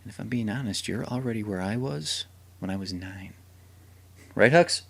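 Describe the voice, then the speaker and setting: A veteran vampire hunter and doting father, played by a man in a radio drama. His voice sits low, and he talks in a joking, encouraging way. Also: normal speed, creaky, a bit bright, breathy edge, heavily acted. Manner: jokey, encouraging, laughs often. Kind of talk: hobby radio drama acting.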